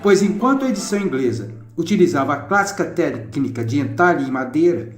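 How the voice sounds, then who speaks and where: A man giving scripted documentary narration in a measured, deliberate way. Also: on the high side, big pitch swings. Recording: echoey voice-over with music behind. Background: soft instrumental music.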